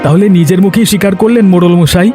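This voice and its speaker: A man's voice: high voice, very fast, close to an everyday voice. A man playing a righteous young land officer in a cartoon, speaking earnestly and emphatically. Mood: defiant. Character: righteous, defiant young land officer, devoted to his mother. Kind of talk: Bengali cartoon voice acting. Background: music.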